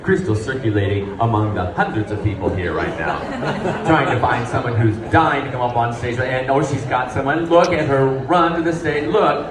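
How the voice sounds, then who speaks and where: A man playing a gushing, folksy, excitable quiz show host in the style of 1930s radio. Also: mid-range voice, natural voice. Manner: gushing, repeats himself. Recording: roomy hall, distant, live crowd.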